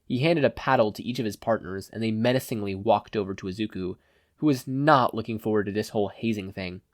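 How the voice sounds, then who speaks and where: A man's voice: fairly low pitch, brisk, no room sound, clean studio sound. A man giving a scripted narration of a fan fiction story as an audiobook reader. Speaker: podfic narrator.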